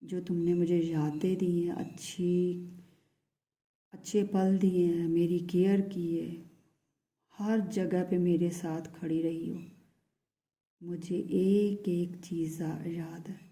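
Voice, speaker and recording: A woman voicing a hushed, lovesick man. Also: on the low side, little pitch movement, slow. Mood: tender, pleading. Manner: soft, hushed, often whispering. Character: lovesick young man, pleading and tender. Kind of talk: channelled love message in first person.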